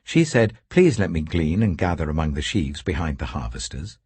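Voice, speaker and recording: Man reading as husky, respectful farm overseer. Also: extremely low pitch, big pitch swings, moderate pace, darkish tone, no echo, full character voice. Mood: earnest report. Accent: British. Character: male harvest overseer, plain-spoken, respectful servant.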